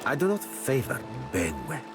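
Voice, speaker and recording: An actor playing a suave, pompous gentleman pirate captain in a video game, speaking formally with flowery, calculating courtesy. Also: mid-range voice, wildly swooping pitch, moderate pace, gravelly, over music. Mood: haughty. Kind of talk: video game character dialogue.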